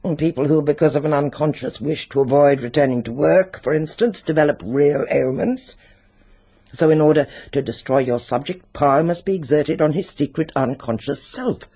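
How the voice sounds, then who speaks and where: A British actor playing a sinister, secretive occult expert in a radio drama, speaking deliberately and insinuatingly. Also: male voice, on the high side, dark tone, slightly rough. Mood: intense, menacing.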